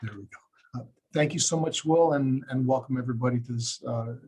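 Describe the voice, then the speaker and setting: A man's voice: medium pitch, a little fast, a little mellow, breathy edge. A man from Hawaii, a radiation oncologist in his forties, talking in a webinar discussion with many fillers and restarts.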